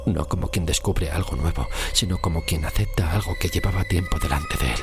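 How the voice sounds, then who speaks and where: A man with a Spain Spanish accent narrating a scripted horror story in a slow, grave, measured way. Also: low voice. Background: music.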